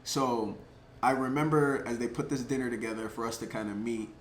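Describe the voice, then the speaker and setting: A man telling a personal story casually to camera, chatty and animated, with lots of filler words. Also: medium pitch, fairly quick. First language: English. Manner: chatty, lots of "like" and "um" fillers, laughs between thoughts; animated, gets louder at exciting moments. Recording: roomy echo, faint background noise.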